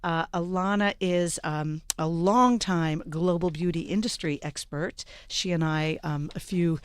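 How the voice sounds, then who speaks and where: A woman hosting a radio panel show, introducing her guests in a measured way with plenty of ums and uhs. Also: lowish voice, fairly even intonation, smooth, no echo, studio quality. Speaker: radio talk show host. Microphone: studio microphone.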